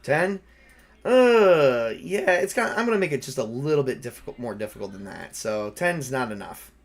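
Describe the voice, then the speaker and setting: A man's voice: mid-range voice, expressive, moderate pace. A man acting as game master, narrating and making rulings in a casual, off-the-cuff, conversational way.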